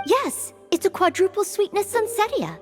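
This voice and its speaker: An eager young woman from a fantasy game. Her voice is high and lively, with an earnest, insistent way of explaining things over light music. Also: moderate pace, full character voice. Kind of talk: video game cutscene voice acting.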